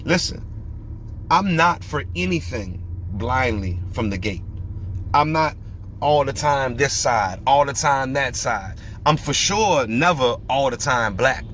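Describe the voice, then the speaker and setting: A man's voice: medium pitch, lively intonation, takes it slowly, fairly bright tone, a little hiss or hum. Preachy, emphatic male podcast host. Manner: emphatic, preachy, fires rhetorical questions.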